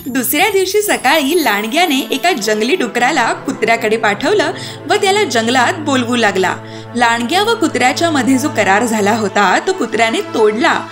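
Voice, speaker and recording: A woman narrating a scripted children's fairy tale as an expressive storyteller. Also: high-pitched. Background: music.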